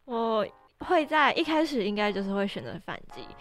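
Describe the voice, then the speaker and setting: A woman's voice: on the high side, sing-song, normal speed, faint music behind. A woman co-hosting a podcast, discussing casually. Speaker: podcast co-host.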